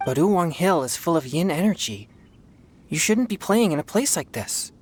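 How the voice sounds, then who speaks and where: An actor playing an earnest, responsible young male exorcist in a video game, with a youthful, husky voice. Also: a little high, very animated, normal speed, slight background noise. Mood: reassuring.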